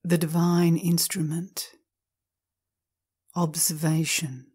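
A woman with a low, mellow voice guides a meditation. She reads very slowly and calmly, setting out each short phrase on its own and leaving space after it. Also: slightly rough. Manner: calm, measured, short phrases with long pauses. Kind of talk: slow guided meditation reading.

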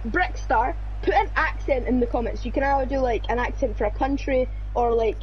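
A high-pitched young speaker with a Scottish accent rambles and brags in casual chat. The voice comes through a voice call. The sound is dull, with a faint hiss underneath. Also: brisk. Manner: playful, boastful, rambling. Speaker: friend of the streamer.

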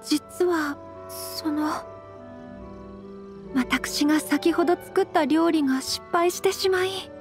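A woman playing a refined, gentle young noblewoman in an anime game, speaking shyly in very polite, formal language. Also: extremely high pitch, slow, long pauses, exaggerated voice. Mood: flustered, apologetic. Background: soft background music.

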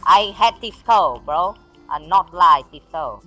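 A man from Vietnam with a Vietnamese accent, talking bluntly in halting, repetitive English as a teammate in a game's voice chat. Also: high-pitched, animated, over music, poor audio. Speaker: squad teammate in online game. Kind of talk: casual voice chat. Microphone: gaming voice chat.

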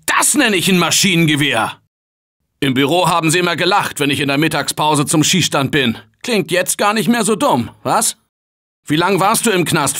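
Earnest male game survivor, ordinary office guy, husky, bright forceful voice, very lively pitch. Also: heavily acted. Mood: casual. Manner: emphatic, urgent, colloquial. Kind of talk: dramatic video game voice acting in short barks.

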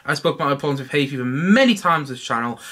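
A man's voice: mid-range voice, rapid-fire. A young man hosting his own film review podcast from a small home room, talking casually and animatedly, with a stuffy nose from hay fever. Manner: animated, waves his hands while talking. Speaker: podcast host.

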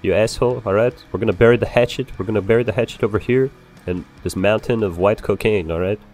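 A man improvising in-character game roleplay, swearing and ranting in an excitable way. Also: fairly low pitch, fast, faint music behind. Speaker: roleplayer playing a bar owner.

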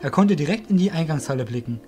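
A man's voice: on the high side, expressive, quick pace, warm tone, faint music behind. A man narrating a scripted audio drama as its storyteller.

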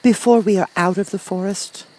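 Guy doing a little storybook girl, very high husky voice, anxious but bossy. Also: fairly even intonation, moderate pace, a little mellow, poor audio. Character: little girl, anxious yet bold and scolding. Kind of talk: storybook character voice.

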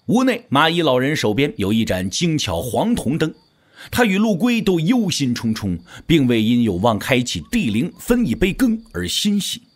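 A man's voice: a little high, big pitch swings, normal speed. A man narrating a scripted audio drama in a dramatic storytelling style.

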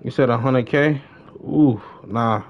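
A man's voice: mid-range voice, takes it slowly, lo-fi sound. A man livestreaming a game, chatting casually in slang and often repeating his phrases. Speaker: livestreamer and gamer. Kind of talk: casual gaming livestream banter.

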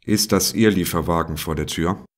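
Man playing a low-voiced brusque cop. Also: moderate pace, lightly acted. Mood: measured. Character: brusque, small-statured male police officer. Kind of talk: parody audio drama acting.

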